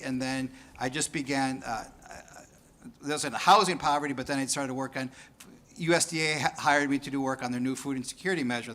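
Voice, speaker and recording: A man's voice: mid-range voice, pushed and bright. A man, an economist on a research panel, speaking in a self-deprecating way with light laughs. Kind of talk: conference panel talk.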